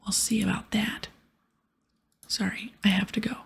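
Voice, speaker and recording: A woman's voice: medium pitch, little pitch movement, moderate pace, exaggerated voice. A stern questing adventurer from a fantasy game, read by a woman. The delivery is firm yet whispered, extremely airy and lightly crackling. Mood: demanding. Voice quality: whispered, breathy. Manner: firm, accusing.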